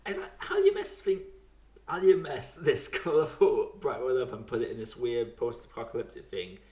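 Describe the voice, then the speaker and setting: Low-voiced young man, echoey room. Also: very animated, normal speed, a little mellow. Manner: chuckles often while talking, informal swearing. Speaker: podcast host and film reviewer. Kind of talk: casual podcast chat.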